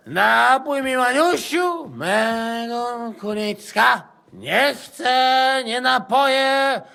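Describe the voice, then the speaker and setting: Very high, bright, rowdy Polish soldier. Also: male voice, creaky, exaggerated voice. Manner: brash, exuberant. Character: rowdy, foul-mouthed Polish drinking soldier, hot-tempered and jovial. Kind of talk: video game cutscene acting.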